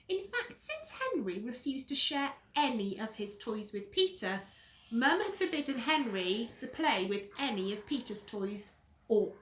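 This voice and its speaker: A woman reading a children's story aloud in a dramatic, deliberate, expressive way, like a teacher with pupils. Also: a little high. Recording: roomy echo.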